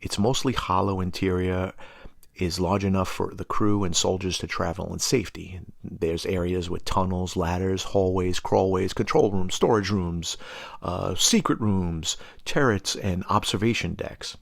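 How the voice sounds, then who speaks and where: A man with a very low voice and plenty of gravelly fry presents fantasy game lore. He explains it from a script and slips in informal, wry asides. The sound is close and dry, with very little room. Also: fairly even intonation, a little slow, dry recording. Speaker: tabletop gaming lore presenter. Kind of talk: scripted narration with casual asides.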